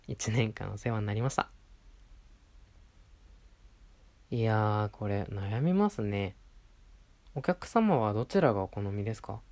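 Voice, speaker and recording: A man's voice: on the low side, darkish tone. A man playing a polite, attentive clothing shop assistant in a roleplay audio, speaking courteously to a regular customer. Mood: friendly, attentive. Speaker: voice performer in a situation voice audio. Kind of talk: Japanese situation-voice roleplay addressed to the listener.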